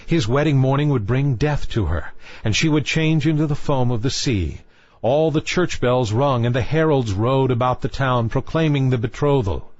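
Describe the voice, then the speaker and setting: A man reading a fairy tale as a storyteller, in a measured literary style. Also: mid-range voice, a little mellow, muffled recording. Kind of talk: scripted fairy tale narration.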